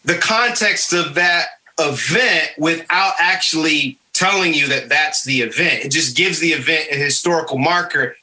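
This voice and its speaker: A man's voice: a little high, normal speed, few pauses, sharp tone, a little hiss or hum, poor audio. An American man from Michigan hosting a casual history podcast, explaining things in an emphatic conversational way. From Michigan, United States. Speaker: podcast host.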